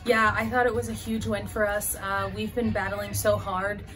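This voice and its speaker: A woman in her thirties, a head softball coach, answering postgame questions earnestly with many fillers, recorded indoors by a window. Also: mid-range voice, flat delivery, normal speed, faint music behind, low-quality recording. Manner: earnest, fillers and restarts, gestures while talking. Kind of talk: postgame press interview.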